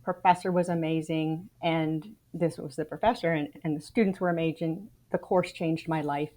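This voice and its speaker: A woman's voice: sits low, fairly even intonation, moderate pace, warm tone. A Canadian woman in her forties, a podcast guest, telling her life story frankly and with dry wry humor. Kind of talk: casual interview storytelling.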